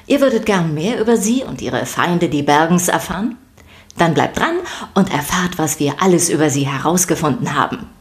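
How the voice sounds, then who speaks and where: A woman with a slightly low voice narrates a children's audio story. She reads from a script expressively, addressing her listeners directly as a storyteller would. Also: lively intonation, moderate pace.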